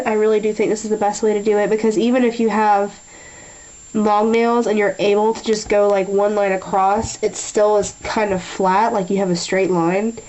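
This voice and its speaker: A young woman talking through a nail tutorial in a chatty way, a little nasal from a sinus infection, often clearing her throat. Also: medium pitch, level tone, normal speed, a little mellow, low-quality recording. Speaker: beauty vlogger. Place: small room at a table.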